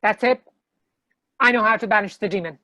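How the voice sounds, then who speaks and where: A woman's voice: medium pitch, brisk, pushed and bright, no echo, lightly acted. A woman playing a bossy, decisive demon hunter in a comedy table read, with a commanding, dry manner. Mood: determined.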